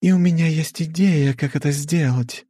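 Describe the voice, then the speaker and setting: Man voicing a high-pitched bossy demoness wife. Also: moderate pace, dark tone, dry recording, clean studio sound, close to an everyday voice. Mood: bossy, resolute. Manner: emphatic, scolding, commanding. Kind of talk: audiobook character acting.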